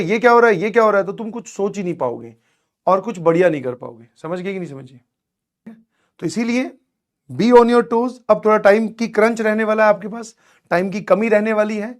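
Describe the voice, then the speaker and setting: A male coaching teacher with a hoarse, strained throat, giving motivational advice to students in an online class, coaxing them along, saying please and checking that they follow. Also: high-pitched.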